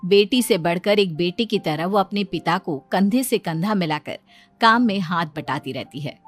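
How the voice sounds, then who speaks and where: A woman's voice: on the low side, expressive, normal speed. A woman narrator reading a scripted moral story. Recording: music behind the voice.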